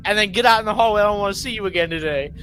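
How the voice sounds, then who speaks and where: Higher-voiced casual guy podcasting, faint noise. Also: very fast, a bit bright. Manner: wry, joking, informal. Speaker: podcast co-host. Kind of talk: casual chat between friends.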